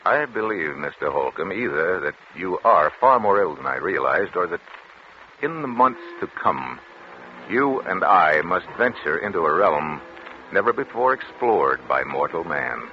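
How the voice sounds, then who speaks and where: An actor playing a grave, reassuring research doctor, measured and solemn in 1940s radio drama style. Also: male voice, low-pitched, very animated. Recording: muffled, noisy 1940s radio recording.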